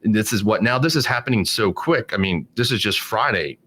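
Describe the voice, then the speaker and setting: A man's voice: on the low side, animated, a little fast, pushed and bright. A man in his forties talking politics in a casual podcast chat, rambling with many restarts and fillers. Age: 40s. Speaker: podcast guest.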